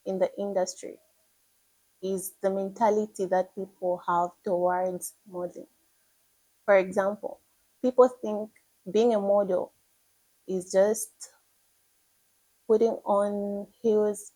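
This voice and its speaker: A woman's voice: mid-range voice, dark tone, low-quality recording. Eager nineteen-year-old Zambian woman. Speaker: pageant contestant. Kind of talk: prepared self-introduction to camera.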